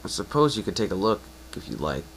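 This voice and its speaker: A man voicing a guarded, wry winged pony, resigned and gently teasing, in an amateur fan fiction reading. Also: lowish voice, big pitch swings, fast, slight background noise.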